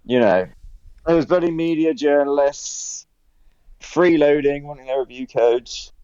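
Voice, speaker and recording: A man's voice: a little high, unhurried, dark tone, smooth, no echo. A man co-hosting a casual gaming podcast, giving wry, grumbling opinions. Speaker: podcast co-host. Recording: dull lo-fi mic, dry.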